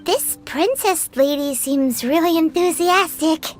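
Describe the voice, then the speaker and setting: A woman voicing a small excitable fairy companion who refers to herself in the third person, in a game cutscene. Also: very high voice, slow pace, faint music behind. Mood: chatty. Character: tiny floating fairy companion, chatty and cheeky. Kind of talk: video game voice acting.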